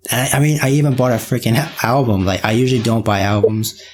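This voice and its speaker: A man's voice: mid-range voice, fast, a little mellow, a little hiss or hum. A man hosting a casual podcast, speaking in informal, slangy and somewhat hesitant phrases. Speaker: podcast host, K-pop reaction video creator. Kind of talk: casual chat.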